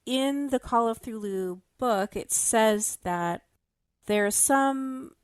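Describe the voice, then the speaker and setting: A woman's voice: on the high side, slow pace, clear, resonant tone, dry recording, studio quality. A woman talking through her theories in a casual, analytical discussion, thoughtful and careful as she builds each point. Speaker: podcast panelist discussing a fiction show.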